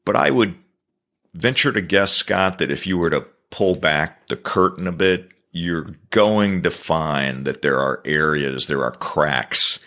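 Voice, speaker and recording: A man answering as a guest on a business podcast, earnest and measured, building his points in repeated phrases. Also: sits low, expressive, bright, forceful tone.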